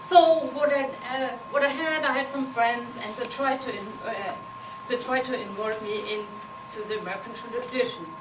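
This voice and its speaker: A woman's voice: on the high side, moderate pace. A woman from Germany with a German accent, giving a humorous contest speech with playful, teasing delivery and non-native phrasing. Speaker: contest speaker. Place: meeting hall with audience. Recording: echoey hall from far off, noisy.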